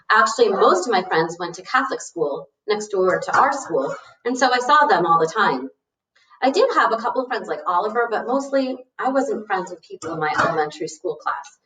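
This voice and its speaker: A woman's voice: mid-range voice, a bit bright, a little hiss or hum. Boastful seventh-grade boy played by a woman, frank and informal storybook letter, echoey and off-mic. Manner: frank, self-assured, informal. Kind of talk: storybook read-aloud of a letter. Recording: roomy, echoey, distant mic.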